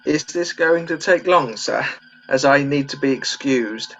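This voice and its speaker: A man playing a gentle, timid old Home Guard medic, meek and fussy, in a comedy radio play. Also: medium pitch, measured pace, dull audio, faint music behind.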